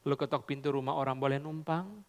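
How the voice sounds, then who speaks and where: A man preaching a sermon, swinging between loud high emphasis and low quiet asides, often slipping into English and casual slang. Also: fast, in a large hall. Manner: repeats "saudara" constantly, mixes in English phrases and colloquial Jakarta slang. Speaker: pastor.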